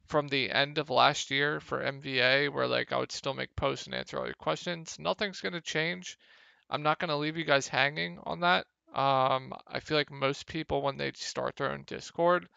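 A young man with a slightly hoarse, gravelly voice, casually talking through fantasy football picks over a screen share, with many ums. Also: on the high side, dry recording, lo-fi sound. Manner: relaxed, lots of ums. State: a little hoarse. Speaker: fantasy sports analyst.

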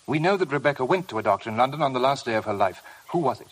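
Sly blackmailer, 1950s radio drama, taunting, insistent, mid-pitched man. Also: lively intonation, racing, breathy edge. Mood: coaxing, insistent. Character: sly, mocking male blackmailer, smugly needling.

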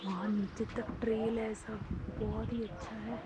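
A woman in her thirties excitedly narrating a travel vlog while walking a sunny trail, holding the camera at arm's length. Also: a little high, measured pace. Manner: chatty and excitable, pointing out sights. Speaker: travel vlogger. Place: outdoors on a sunny volcano hiking trail. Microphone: camera held at arm's length. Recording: dull outdoor phone audio with wind noise.

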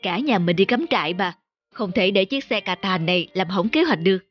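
A hearty, helpful bearded uncle in a cartoon, as a woman lowers her voice to play him. He sounds reassuring and cheerful, over clear background music. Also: expressive, brisk, muffled recording. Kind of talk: cartoon dubbing.

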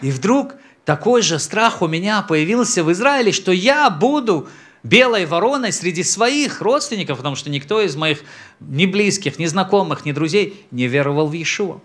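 A man in his thirties, a native Tajik speaker from Central Asia, gives his testimony to a congregation on a church stage. His voice sits a little high for a man, and he speaks into a handheld mic at an unhurried pace, pausing and clearing his throat. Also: animated, fairly bright tone. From Samarkand, Central Asia. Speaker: messianic rabbi, guest speaker. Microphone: handheld microphone.

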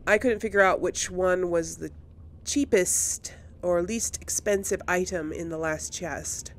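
Slow-talking woman gamer with creaky voice. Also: on the low side, a little hiss or hum. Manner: unhurried, thinking aloud. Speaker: gamer, series host. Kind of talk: casual let's play commentary.

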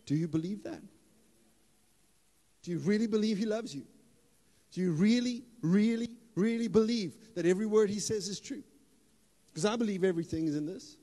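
A man delivers a sermon from a church stage, holding a handheld mic. His voice sits somewhat high and he shifts from easy, joking asides to loud, emphatic declarations. The hall adds a long reverb to every line. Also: normal speed, long pauses. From South Africa. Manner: animated, playful, rises to loud emphatic bursts. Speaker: pastor.

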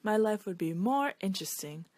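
A woman's voice: medium pitch, lively intonation, moderate pace, darkish tone, no room sound, close to an everyday voice. A restless storybook shape, voiced by a woman reading aloud, never content and always wishing for more. It grumbles and wishes in a dry, close sound. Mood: wishful. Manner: grumbling and wishful.

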